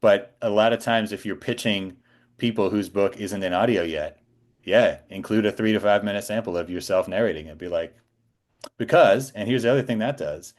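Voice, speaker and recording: A man's voice: lowish voice, normal speed. A man chatting casually as a guest on a podcast, talking in an enthusiastic, emphatic storytelling way. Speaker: guest voice actor and audiobook narrator.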